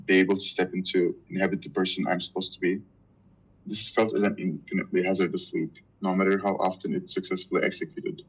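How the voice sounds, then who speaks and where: A man whose first language is Turkish, reading a dense philosophy text aloud to a reading group, halting and stumbling over hard words. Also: low-pitched, fairly bright tone, husky, muffled recording.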